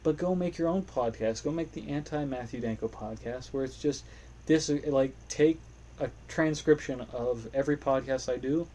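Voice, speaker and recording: A man's voice: mid-range voice, warm tone. A young man hosting his own podcast alone, rambling in a casual, self-deprecating way and swearing freely. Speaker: podcast host. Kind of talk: casual solo podcast monologue. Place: home room, playing a video game.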